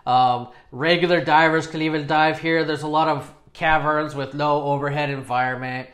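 Higher-pitched man presenting casually to camera. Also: steady tone, moderate pace, fairly bright tone. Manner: self-deprecating, informal. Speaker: presenter of dive videos. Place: small home studio with acoustic foam, indoors.

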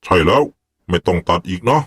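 A man voicing a firm, deliberate older village father who calmly leads spirit rituals in an animated ghost story. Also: no echo, clean studio sound, natural voice. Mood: firm, warning.

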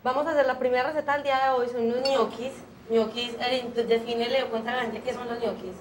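A young woman with a fairly high voice hosts a televised cooking lesson. She talks viewers briskly through each step. She is recorded on a lapel mic in a small studio kitchen, with faint room noise behind her. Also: fairly even intonation, poor audio. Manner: brisk, explanatory, addresses viewers directly. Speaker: cooking show host.

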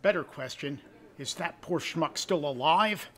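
A middle-aged man playing an affectionate but easily exasperated soldier brother in a 1940s noir radio drama, measured and earnest. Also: on the high side, a bit bright.

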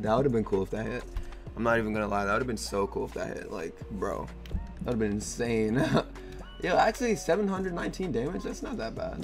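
Young male gaming host, voice going hoarse, excitable slangy play-by-play, light game music behind. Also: medium pitch. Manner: excitable, laughing, trash-talking slang. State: hoarse, losing his voice. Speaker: gaming YouTuber. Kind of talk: casual gameplay commentary.

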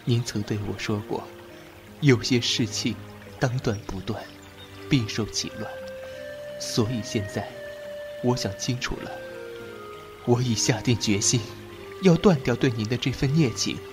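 A man acting a devoted, submissive subordinate in an ancient Chinese audio drama, speaking in a halting, emotional way. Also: mid-range voice, over music, lightly acted. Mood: anguished resolve.

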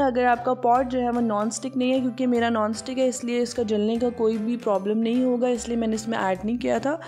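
Woman explaining a recipe over music. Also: a little high. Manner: steady, explaining step by step with many fillers. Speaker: cooking channel host. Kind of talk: voice-over cooking instructions. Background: soft background music.

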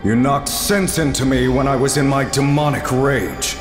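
An actor playing a fierce, loyal warrior general in a video game, speaking in an earnest, martial manner. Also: male voice, mid-range voice, moderate pace, natural voice. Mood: solemn loyalty. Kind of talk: dramatic video game cutscene acting. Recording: background music under dialogue.

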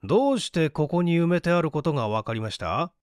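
A man's voice: on the high side, big pitch swings, fairly quick, dry recording, studio quality. A man voicing an indignant, haughty young man in a storybook reading, stiffly polite and challenging.

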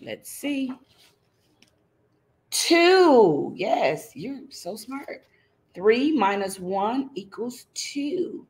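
A woman's voice: a little high, sing-song, drawn-out words, pauses often, slight background noise. A middle-aged woman reading a picture book aloud to young children, teacherly and interactive, checking in with her listeners. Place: indoors in front of a green screen.

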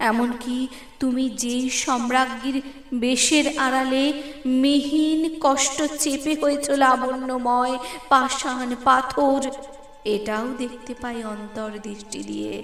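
A woman reciting poetry dramatically, with expressive, deliberate phrasing and pauses. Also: sits high. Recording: echoey, long reverb, music underneath. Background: background music.

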